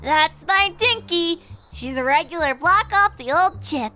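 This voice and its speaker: Bubbly, scatterbrained cartoon pony mail carrier, extremely squeaky and animated, for a storybook tale. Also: female voice, unhurried, heavily acted. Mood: cheerful, scatterbrained. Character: klutzy, cheerful, scatterbrained female pegasus mail carrier.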